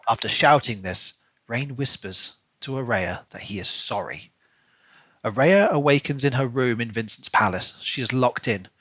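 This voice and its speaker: A man's voice: mid-range voice, pushed and bright, dry recording. Male podcast co-host for book talk, mid-range voice, very dry recording. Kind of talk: book discussion podcast, reading a plot recap then casual chat.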